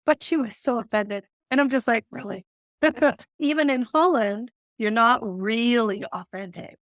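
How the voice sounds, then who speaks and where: A woman's voice: fairly high pitch, measured pace, no room sound, clean studio sound. A woman from Calgary with a Western Canadian accent, chatting casually as a podcast co-host. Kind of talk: casual chat.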